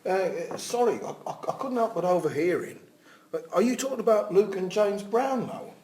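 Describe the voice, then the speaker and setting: A man's voice: high-pitched, animated, normal speed, a little mellow, a little hiss or hum. A man playing a polite, fussy doctor with a courteous, hesitant and familiar manner in a script reading. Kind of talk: audio drama script reading.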